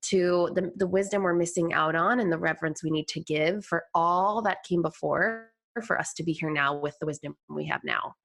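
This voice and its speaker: A woman speaking as a podcast guest in a reflective conversation, thoughtful and halting as she searches for words. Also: on the low side, vocal fry, a bit bright.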